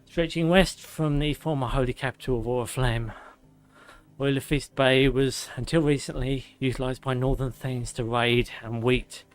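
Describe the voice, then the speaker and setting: Hoarse streamer reading lore. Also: male voice, medium pitch, fairly even intonation, normal speed. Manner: sarcastic asides, swearing. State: hoarse, losing his voice; slightly out of breath. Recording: faint music behind.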